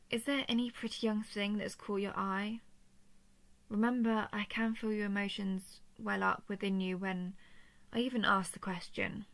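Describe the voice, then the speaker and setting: A woman's voice: mid-range voice, vocal fry, a bit bright. A woman voicing an affectionate, playful and easily flustered female dragon in an intimate audio roleplay. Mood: teasing, curious. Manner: tender, teasing, halting when flustered.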